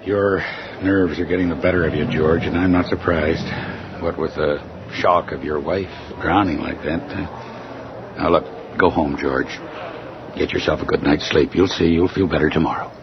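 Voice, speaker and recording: An actor playing a gruff, dismissive bus depot boss in a radio drama, talking bluntly and insistently. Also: male voice, rumbling low, steady tone, moderate pace, background noise. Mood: subdued, consoling. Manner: blunt, insistent, brushing worries aside. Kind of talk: old-time radio horror drama acting. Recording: dull old radio recording with music underneath.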